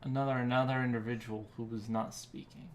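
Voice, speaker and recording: Animated man bantering as podcast host. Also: medium pitch, brisk, slight background noise. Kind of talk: casual banter.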